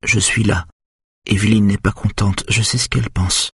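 A frightened teenage boy under hypnosis, his voice pushed well above its usual low register as a male narrator puts him on. The tone is husky and close, and it climbs as the panic builds. It sounds like an audiobook reading, dry and intimate. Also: fairly even intonation, normal speed, no echo. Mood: plaintive. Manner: frantic, breathless, rising panic.

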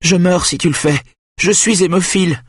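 A man's voice: mid-range voice, normal speed, a bit bright, breathy edge, dry recording, clean studio sound, pitched above the performer's own voice. A man voicing a frightened, submissive young boy in an audiobook, meek and whimpering. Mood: pleading. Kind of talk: audiobook character acting.

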